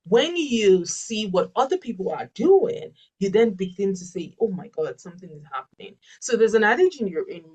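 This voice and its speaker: A woman's voice: mid-range voice, sing-song, moderate pace, few pauses, a little mellow, slightly rough, recorded from a distance, dull audio. A Yoruba-speaking woman, a life coach answering webinar questions earnestly and emphatically, often repeating her key phrases. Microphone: video call.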